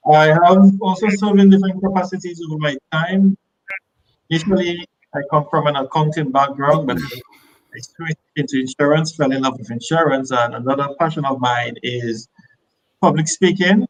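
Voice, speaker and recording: A man's voice: on the high side, fairly even intonation, moderate pace, low-quality recording. Guy on a business panel introducing himself, formal, slight hiss behind. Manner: formal, self-introducing speaker.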